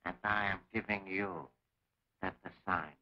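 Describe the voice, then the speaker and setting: A man's voice: sits low, steady tone, moderate pace, sharp tone. A man playing a cold, commanding enemy scientist in a 1940s film serial, speaking formally and precisely. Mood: sinister, assured. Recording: dull lo-fi 1940s serial soundtrack.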